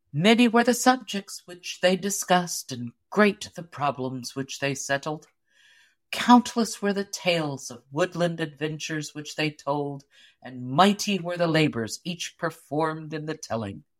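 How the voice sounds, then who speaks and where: A woman reading a story aloud as an audiobook narrator, in an expressive, measured storytelling manner. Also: on the low side.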